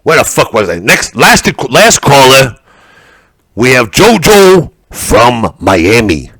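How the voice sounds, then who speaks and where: A man's voice: mid-range voice, big pitch swings, slow, a bit bright, dry recording, full character voice. A man playing a brash, bigoted podcaster on a live comedy stage, ranting, rambling and swearing. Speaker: stand-up comedian. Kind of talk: stand-up character act.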